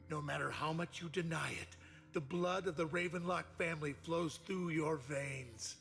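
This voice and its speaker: A man's voice: sits high, vocal fry, pushed and bright, full character voice. A man acting a menacing, grandiose noble uncle who heads a ruthless family in a video game. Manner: grandiose, domineering, theatrical. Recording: music under the voice.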